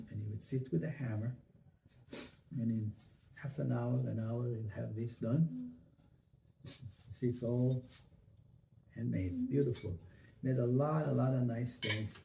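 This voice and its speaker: Older man, from Israel, gravelly, dark tone, halting with fillers, echoey room. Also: mid-range voice. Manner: halting, with many fillers and restarts. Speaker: interviewee. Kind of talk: oral history interview. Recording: echoey room, faint noise.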